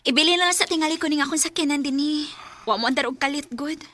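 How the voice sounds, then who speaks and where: A woman's voice: sits high, fast talker, sharp tone, dry recording. A woman playing a friendly, chatty young woman who brings her car in for repair. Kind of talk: amateur radio drama acting.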